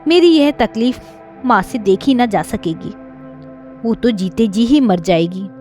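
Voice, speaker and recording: A woman's voice: on the high side, expressive, unhurried, a little mellow, soft background music. A woman voicing an earnest, devoted adult son, a doctor, in a plain-spoken storybook telling. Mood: sorrowful, earnest.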